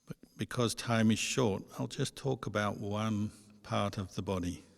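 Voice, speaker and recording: A man guides a meditation as a monk would, in a low, very even voice. He speaks slowly and gently, leaving pauses between short phrases. The sound carries a long hall reverb. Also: gravelly. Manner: measured, gentle instructing with pauses.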